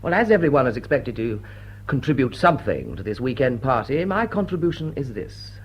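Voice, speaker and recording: An actor playing a debonair, flippant gentleman rake with a droll, understated manner. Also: mid-range voice, big pitch swings, a little fast, slightly rough. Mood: wryly confessing. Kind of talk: stage drawing-room radio drama.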